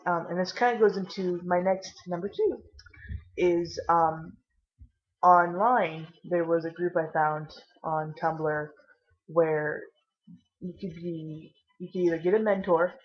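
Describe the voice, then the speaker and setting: A tired, caffeinated woman vlogging casually with a low voice, rambling and swearing now and then. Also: steady tone, a little slow, lo-fi sound.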